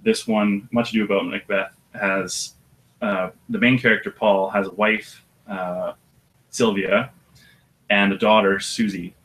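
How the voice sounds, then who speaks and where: An audiobook narrator with a deep voice, talking about his work in a relaxed, thoughtful way with some hesitation. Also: measured pace, low-quality recording. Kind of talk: casual interview chat.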